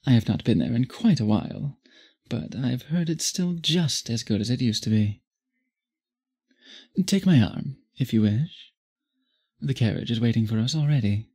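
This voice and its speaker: A man playing an obsessed, courtly gentleman vampire in an intimate audio roleplay, speaking formally and deliberately to the listener. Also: mid-range voice, animated, husky. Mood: courtly, charmed. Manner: formal, flowery, measured speech with deliberate pauses. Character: obsessed, courtly gentleman vampire suitor, possessive yet restrained. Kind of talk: intimate scripted ASMR roleplay, addressed to listener.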